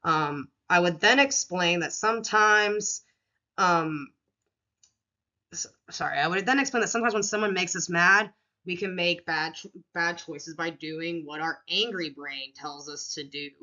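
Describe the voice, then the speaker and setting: Woman, teacher, deep voice, bright tone, casual with fillers, dull lo-fi recording. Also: a little slow. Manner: casual, many fillers and restarts. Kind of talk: presenting a classroom lesson plan.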